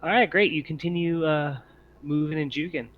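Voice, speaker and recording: A man's voice: fairly high pitch, normal speed, narrow, tinny audio. A man acting as game master, talking casually as he runs a tabletop roleplaying game.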